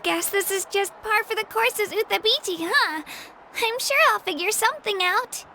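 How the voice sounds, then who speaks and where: A woman's voice: very high voice, normal speed, pushed and bright, slight background noise, heavily acted. A woman voicing a sweet, self-doubting young girl warrior in a video game, earnest, polite and a little hesitant. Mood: dejected. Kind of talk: English video game voice acting. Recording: music behind the voice.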